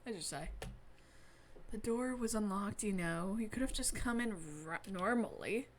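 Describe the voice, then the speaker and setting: A woman's voice: mid-range voice, moderate pace, a little hiss or hum. A woman reading a visual novel aloud expressively, adding playful, wry asides in casual commentary as a streamer. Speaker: let's-play streamer.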